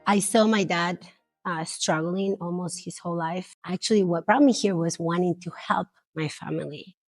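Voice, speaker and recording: A woman's voice: lowish voice, moderate pace, dry recording, faint music behind. A middle-aged woman from Argentina, reflectively telling her immigration story in an interview.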